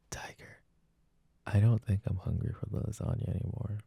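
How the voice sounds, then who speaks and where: A man's voice: lowish voice, creaky, warm tone, slightly rough, dry recording, full character voice. A young man playing an apologetic, adoring jealous boyfriend in an intimate roleplay, speaking in a casual, hesitant murmur. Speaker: voice actor.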